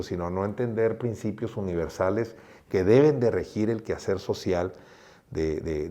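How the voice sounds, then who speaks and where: Deep-voiced man speaking slowly and earnestly. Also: mellow. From Mexico. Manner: slow, repetitive, often restarts phrases. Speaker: guest doctor. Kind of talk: earnest interview talk.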